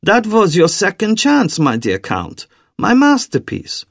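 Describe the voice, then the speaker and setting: Audiobook villain, played by a male narrator: soft German accent, persuasive and deliberate, lively swoops, very dry sound. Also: high-pitched, creaky, no echo, pitched above the performer's own voice, full character voice. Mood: grandiose, enticing. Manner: coaxing, theatrical, deliberate. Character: tall, sly villainous man, calm, persuasive, sinisterly courteous.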